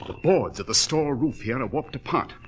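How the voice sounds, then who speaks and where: An actor playing a keen, self-assured gentleman detective with a British accent and clipped, precise, commanding delivery, in 1940s radio style. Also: medium pitch, lively intonation, moderate pace, slight background noise, natural voice. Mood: brisk, intent.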